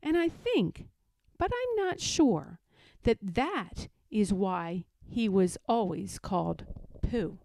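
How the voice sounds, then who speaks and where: Slow, expressive woman reading a storybook. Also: mid-range voice, creaky, no room sound. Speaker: storybook reader. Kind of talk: scripted children's story read-aloud.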